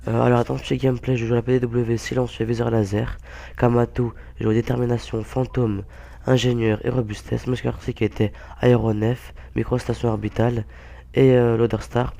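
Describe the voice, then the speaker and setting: A young man with a hoarse, blocked-nose voice from a cold, giving halting, casual gameplay commentary full of fillers. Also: medium pitch, level tone, warm tone. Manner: halting, many fillers and restarts. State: hoarse, stuffy-nosed with a cold. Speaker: gaming YouTuber.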